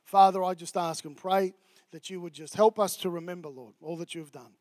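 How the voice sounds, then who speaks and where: A man's voice: high voice, steady tone, fairly quick, a little mellow, dry recording. An Australian man preaching a sermon to his church in a casual, chatty way, full of fillers. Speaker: pastor.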